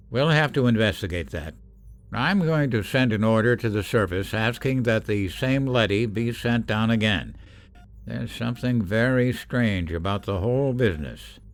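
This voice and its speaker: A man's voice: on the low side, animated, unhurried. An actor playing a middle-aged professor, a stern yet anxious father, in a radio drama. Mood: concerned, firm.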